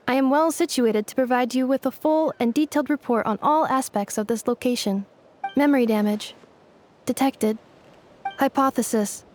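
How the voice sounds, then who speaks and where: A woman voicing a calm, dutiful android woman in a game, speaking in an even, formal, machine-like way. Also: a little high, natural voice. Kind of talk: game dialogue.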